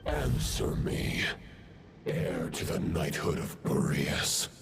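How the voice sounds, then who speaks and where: An actor voicing an ancient, menacing, contemptuous dark being in a video game, with slow, ominous drawn-out words. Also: male voice, extremely low pitch, big pitch swings, vocal fry, over loud music, full character voice. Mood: menacing contempt.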